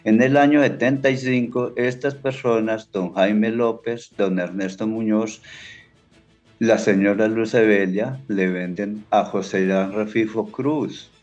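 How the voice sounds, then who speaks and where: An older man from Colombia, a farmer, telling his story slowly and hesitantly with many restarts. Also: on the low side, a little mellow, lo-fi sound. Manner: slow, hesitant, plain-spoken, with many restarts. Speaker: interviewee, evicted farmer. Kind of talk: halting personal account. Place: small indoor room.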